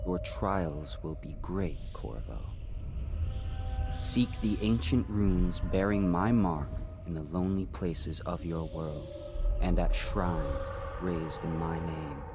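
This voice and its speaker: Aloof male spirit, game. Very low, very slow, solemn and cryptic, music behind. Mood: cool, portentous. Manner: solemn, measured, detached. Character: cryptic, aloof supernatural being bestowing dark gifts. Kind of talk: video game character acting.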